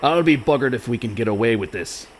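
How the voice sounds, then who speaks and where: An actor playing a bumbling, exasperated pirate captain who talks in salty sea dialect in a comedic radio play. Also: male voice, medium pitch, animated, quick pace, slight background noise, natural voice. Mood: grumbling.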